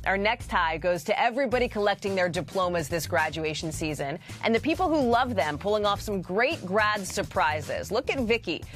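An American woman in her thirties presenting lively, conversational TV news narration with emphatic delivery, in a studio. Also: medium pitch. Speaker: news anchor. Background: music.

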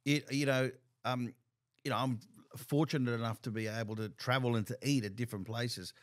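Casting note: middle-aged man, podcast host, mid-pitched, stop-start casual questioning. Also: fairly even intonation. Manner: halting, repeats words while thinking. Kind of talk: casual interview chat. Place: bar-like studio room, indoors. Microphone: desk mic on a stand.

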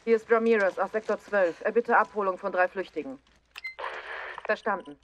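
An actress playing a stern soldier on patrol in a video game, speaking in a clipped, official way. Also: female voice, mid-range voice, moderate pace, lo-fi sound, a little hiss or hum, lightly acted. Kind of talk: dramatic video game dubbing.

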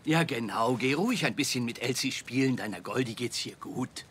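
A man voicing a kindly, patient old grandpa zebra in a German-dubbed children's cartoon, gentle and reassuring. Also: medium pitch, expressive, moderate pace, slight background noise, close to an everyday voice. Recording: loud music behind.

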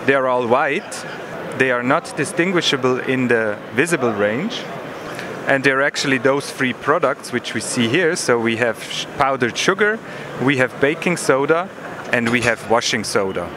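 A man in his thirties or forties giving a measured technical explanation in an interview, pausing to find words, on a handheld microphone. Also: mid-range voice, expressive. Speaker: product manager explaining a demo. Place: busy exhibition hall booth. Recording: noisy trade fair hall ambience.

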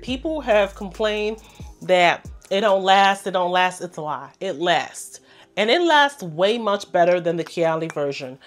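A woman's voice: lowish voice, lively intonation, measured pace, soft background music. Chatty woman with a head cold. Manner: chatty, enthusiastic, addresses viewers as "you guys". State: congested and a little hoarse from a cold. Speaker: fragrance reviewer. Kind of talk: casual product review to camera.

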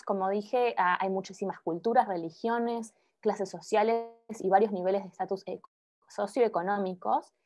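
A young woman presenting her doctoral research over a video call, pausing often with fillers and restarts. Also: mid-range voice, darkish tone. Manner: hesitant, many filler sounds and restarts. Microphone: laptop microphone on video call. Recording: muffled video call audio.

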